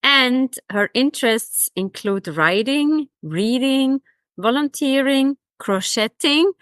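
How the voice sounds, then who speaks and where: A woman's voice: sits high, lively intonation, takes it slowly, fairly bright tone, no room sound. Woman doing a podcast intro and interview questions, pretty dry recording, no room sound.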